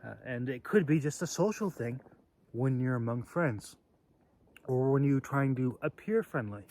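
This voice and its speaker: A man hosting a casual, off-the-cuff demonstration video, talking in a halting, rambling way with phrases that trail off. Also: mid-range voice, lively intonation, a little mellow. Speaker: video host demonstrating sword carry.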